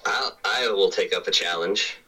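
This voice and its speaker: A man playing a tabletop game, talking in a slow, folksy drawl. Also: low voice, pushed and bright, recorded from a distance, faint music behind, low-quality recording. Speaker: player. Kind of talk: casual tabletop play.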